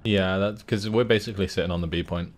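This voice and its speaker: Low-voiced laid-back gamer man. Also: fairly even intonation, fast talker, a little hiss or hum. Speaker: teammate in a game. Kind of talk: casual gameplay chat. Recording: faint game gunfire behind.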